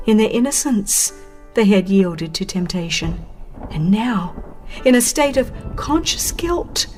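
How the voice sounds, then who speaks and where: A woman reading a religious book as a solemn, measured audiobook narrator with dramatic phrasing. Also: medium pitch, a little mellow.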